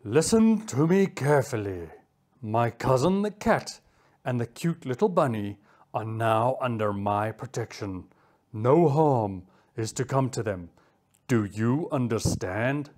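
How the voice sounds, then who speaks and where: A woman voicing a stern, commanding male cheetah with a calm, menacing manner in a children's story. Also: mid-range voice, a little slow, voice pushed down, lightly acted. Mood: calm menace. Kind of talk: children's animal story character voice.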